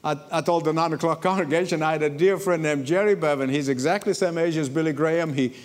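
A man from Egypt, preaching a sermon to a congregation in lively storytelling, often repeating words as he starts a sentence. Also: on the high side, fast. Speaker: pastor. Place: church before a congregation.

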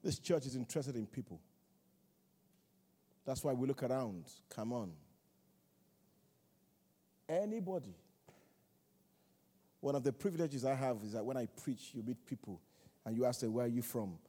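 A man's voice: mid-range voice, lively intonation, normal speed, pauses often, dark tone. A man preaching a sermon in a church, repeating key phrases in a rhythmic call-and-response way. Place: large church hall. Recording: long church hall reverb.

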